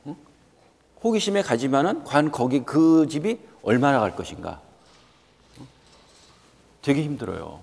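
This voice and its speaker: A man's voice: mid-range voice, sing-song, unhurried, vocal fry, long pauses, a little mellow, breathy edge. A man in his fifties from South Korea gives a motivational lecture to students, emphatic, questioning listeners directly and repeating key points. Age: 50s. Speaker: guest lecturer, company executive. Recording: reverberant hall sound.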